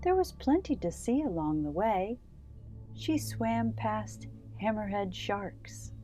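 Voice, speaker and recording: A woman narrates a children's storybook at an unhurried, slow pace. Her medium-pitched voice phrases things gently and deliberately for young listeners, with faint music behind her. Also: animated. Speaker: children's story time host. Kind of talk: read-aloud storybook narration.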